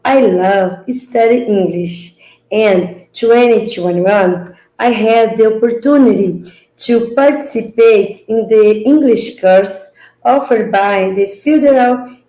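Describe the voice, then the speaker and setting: Brazilian-accented woman, forties, mellow voice, deliberate, echoey room. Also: on the high side. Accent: Brazilian Portuguese. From Minas Gerais, Brazil. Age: 40s. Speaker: language student.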